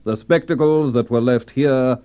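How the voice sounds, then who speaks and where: An actor playing a calm, shrewd police inspector in an old radio crime drama, speaking steadily. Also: male voice, medium pitch, unhurried, very noisy, close to an everyday voice. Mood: firm. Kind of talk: 1950s radio drama acting. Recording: dull old radio recording.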